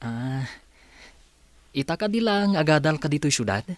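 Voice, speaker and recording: A man's voice: a little high, animated, moderate pace, no echo, lightly acted. An actor playing a shy, apologetic young male college student in a radio drama, speaking hesitantly.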